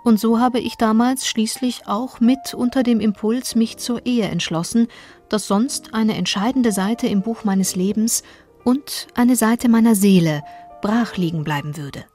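An actress in a radio play reading period documents as an earnest woman, measured and formal. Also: a little high, dark tone, faint music behind, natural voice. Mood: reflective, earnest.

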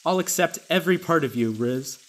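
A man voicing a gentle, trusting small herbivore student in a manga dub, with a soft, reassuring manner. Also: a little high, animated, fairly quick, a little hiss or hum, natural voice. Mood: tender, reassuring.

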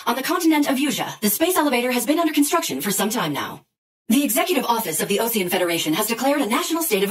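An actress playing an urgent, formal TV news anchor in a video game bulletin. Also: female voice, a little high, far from the mic.

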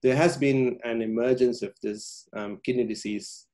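A man's voice: medium pitch, steady tone, moderate pace, mellow. A man from Sri Lanka giving a public science talk over a video call, with many ums and uhs and frequent restarts.

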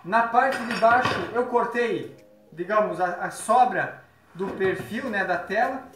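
A man's voice: sits high, slow. A man in his thirties from Brazil, demonstrating a job in a large workshop while he works, explaining plainly with frequent tag questions. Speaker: window installer. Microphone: phone camera nearby. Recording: echoey workshop with background music.

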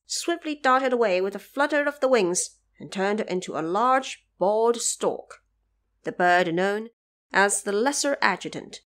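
A woman narrator telling a scripted story for an audio drama in an expressive, measured way. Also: medium pitch.

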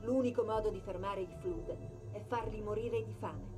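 A woman's voice: medium pitch, moderate pace, natural voice. A woman voicing a fiery, sarcastic female artificial intelligence in a video game dub, biting and emphatic. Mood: urgent, grave. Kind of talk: dubbed video game cutscene acting. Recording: loud music under the voice.

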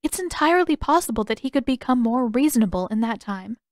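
Woman reading as a polite resigned young noble lady, careful formal wording, highish voice, dry sound. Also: animated, no echo, lightly acted. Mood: dutiful, restrained. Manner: formal, measured, careful phrasing.

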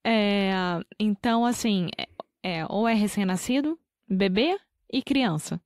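Brazilian woman chatting on a language podcast, laughs a lot, dry close mic, mid pitch. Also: a little slow, darkish tone, no echo. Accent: Brazilian Portuguese. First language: Portuguese. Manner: laughs often, clear careful pronunciation. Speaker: podcast co-host teaching Portuguese. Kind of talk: casual language-teaching chat.

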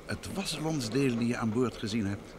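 An actor playing a calm, attentive middle-aged husband and gentleman detective in an old Dutch radio play. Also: moderate pace, close to an everyday voice. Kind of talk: mid-20th-century Dutch radio play acting. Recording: old radio recording, clear train rumble noise.

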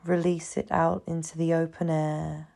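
A woman leading a guided meditation in a soothing, hushed manner, leaving long pauses between phrases. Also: low voice, level tone, creaky. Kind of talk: guided meditation, slow scripted narration.

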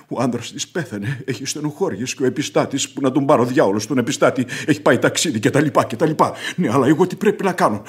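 An actor playing a hot-tempered, indignant landowner who rants and repeats himself in a classic radio theatre style. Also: male voice, medium pitch, lightly acted. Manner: exclamatory, repetitive ranting.

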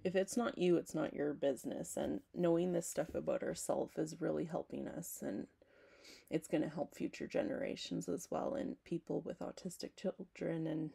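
A young woman with a hoarse, heavily gravelly voice, talking to her webcam at home. Her tone is dark and husky, and she rambles with plenty of "like" and restarts. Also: low-pitched, normal speed. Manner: rambling, self-interrupting, frequent "like". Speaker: vlogger. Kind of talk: casual talk to camera. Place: small home room, indoors.

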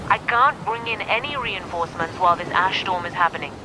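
A woman's voice: fairly low pitch, a little fast, noisy background, natural voice. A woman playing a cool, formal and authoritative corporate director in a video game. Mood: stern. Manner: formal, curt, commanding. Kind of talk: video game character acting.

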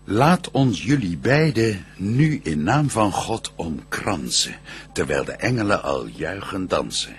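A solemn heavenly messenger in a verse radio play. He declaims slowly and ceremoniously, with wide sweeps in pitch, over audible background noise. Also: big pitch swings, exaggerated voice. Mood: solemn, exalted. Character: solemn male archangel bringing God's wedding blessing.

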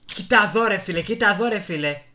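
A man's voice: high voice, bright, forceful tone, a little hiss or hum. A man with a Greek accent giving casual, exasperated gameplay commentary, with some swearing, into a desktop microphone. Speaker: gaming video host. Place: indoors at a computer.

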